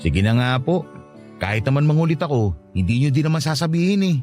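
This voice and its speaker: A man's voice: on the high side, sing-song, a little fast, a little mellow, faint music behind. A man acting as an earnest, devoted grandson in a radio drama, questioning and emotional. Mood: affectionate.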